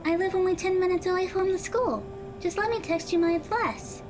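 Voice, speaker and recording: A woman's voice: extremely high pitch, brisk, over music. A woman playing a kind teenage schoolgirl in an audio drama, with a girlish voice and a polite, earnest manner. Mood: friendly, cheerful.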